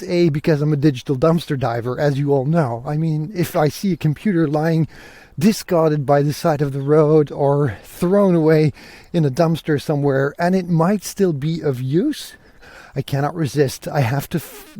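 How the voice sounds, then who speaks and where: A man's voice: fairly high pitch, mellow, dry recording. A man from Belgium hosting a podcast in a casual, conversational and enthusiastic way, talking solo while out walking. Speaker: podcast host. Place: outdoors, walking through countryside fields. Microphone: portable podcasting rig.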